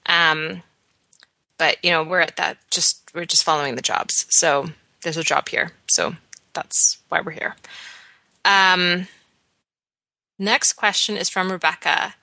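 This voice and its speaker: A woman's voice: lowish voice, fairly even intonation, takes it slowly, vocal fry, pauses often, pushed and bright, no room sound. A woman in her thirties from Canada, with a Canadian accent, co-hosting a podcast in casual chat, full of ums and restarts. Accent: Canadian English. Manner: chatty, many ums and restarts. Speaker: podcast co-host.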